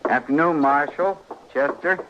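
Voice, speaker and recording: An actor playing a polite, eerily persistent frontier man with a mild, folksy, deliberate manner in an old radio western. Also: a little high, close to an everyday voice. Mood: mild, menacing. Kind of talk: 1950s radio western acting. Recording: dull, hissy old radio recording.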